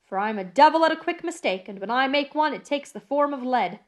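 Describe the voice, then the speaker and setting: A gruff male guard of an old mail coach , acted out by a woman reader. He is loud, wary and threatening, with a rough storybook delivery that barks orders. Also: sits high, animated, fairly quick, pitched above the performer's own voice. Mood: wary threat. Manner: loud, commanding, suspicious. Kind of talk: audiobook character voice.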